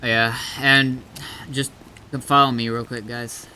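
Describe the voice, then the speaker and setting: A man streaming a game as an admin, chatting casually in a halting, explanatory way with some swearing. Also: mid-range voice, little pitch movement, pushed and bright, slight background noise, poor audio.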